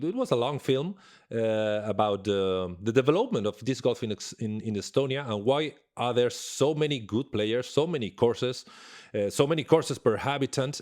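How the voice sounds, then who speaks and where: A man's voice: medium pitch, a little mellow. A man interviewing a guest, asking long questions while searching for words. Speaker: interviewer, host.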